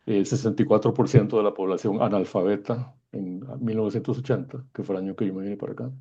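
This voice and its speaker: A man in his sixties from Guatemala moderates with informal commentary. His voice is low, dark and rather husky. The recording is dull and lo-fi. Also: steady tone, quick pace. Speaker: event moderator. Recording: dull, muffled lo-fi connection.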